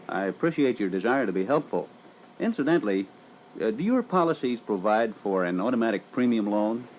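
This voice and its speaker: Man acting as lively, persistent insurance salesman. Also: on the low side, brisk. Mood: courteous, persistent. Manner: courteous, businesslike, persistent. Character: polite, tactfully persistent male life insurance salesman. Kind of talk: scripted sales-call role-play. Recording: noisy old reel-to-reel training record.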